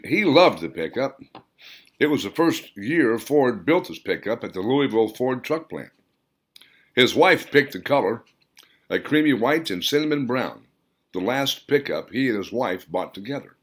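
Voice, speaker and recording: A man's voice: mid-range voice, big pitch swings, moderate pace. A man reading his novel aloud as scripted audiobook narration, with dramatic, emphatic storytelling delivery.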